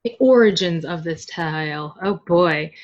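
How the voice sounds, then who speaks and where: A woman's voice: lowish voice, a little slow, muffled recording. A woman speaking as a podcast guest, chatty and candid in a casual conversational interview. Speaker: podcast guest, web novel translator.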